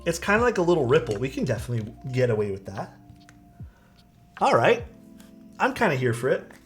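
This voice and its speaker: A young man talks easily through a keyboard-building livestream, rambling and answering his chat at a middling pitch. Soft music plays faintly behind him, and his voice comes through a streaming mic in a small room. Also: big pitch swings, fairly quick. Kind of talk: casual livestream chat with viewers. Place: small rented room indoors.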